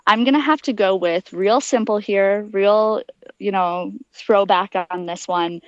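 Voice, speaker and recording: A woman's voice: mid-range voice, measured pace, dry recording, highs cut off. A young adult woman giving conversational, explanatory answers as a guest in an interview. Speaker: interview guest, aspiring astronaut.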